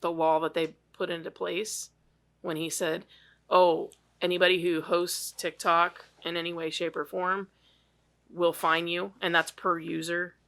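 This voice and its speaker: A woman's voice: on the low side, flat delivery, unhurried, fairly bright tone. A woman co-hosting a podcast in casual chat, blunt and swearing freely, laughing as she rants. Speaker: podcast co-host.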